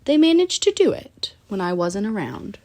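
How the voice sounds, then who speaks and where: Female children's book narrator, mid-pitched and very lively, sing-song rhyming read-aloud with deliberate pauses.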